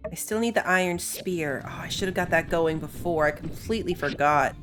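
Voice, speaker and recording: A woman's voice: on the low side, fairly quick, over music. A woman giving casual, chatty playthrough commentary as she plays a video game, thinking aloud as she goes. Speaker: gaming video host.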